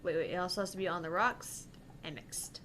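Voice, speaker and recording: A woman's voice: fairly low pitch, steady tone, fairly quick, background noise. A young woman hosting a visual novel let's play, reading dialogue aloud and chatting in a casual, joking way. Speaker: gaming channel host.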